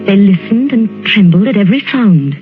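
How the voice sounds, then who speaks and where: A woman acting a gentle, anxious genteel lady in a soothing, earnest 1940s radio suspense style. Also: mid-range voice, lively intonation, normal speed, close to an everyday voice. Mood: troubled. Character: gentle anxious genteel lady friend, Miss Amanda, narrating her diary. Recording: tinny band-limited old radio, organ music behind.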